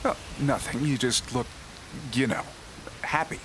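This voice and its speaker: A man's voice: medium pitch, a little slow, fairly bright tone, lightly acted. A man playing a playful, boyish, wisecracking treasure hunter in an audio drama, with a joking, hesitant way of talking. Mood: teasing, affectionate. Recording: clearly noisy, ambient sound behind.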